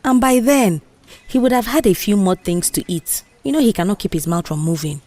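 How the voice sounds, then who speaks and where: A woman voicing a bold, mischievous young office worker with a Nigerian accent, animated, dismissive and persuasive, in an audio drama. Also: medium pitch, a little fast, close to an everyday voice. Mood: confident, brash. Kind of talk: audio drama character dialogue.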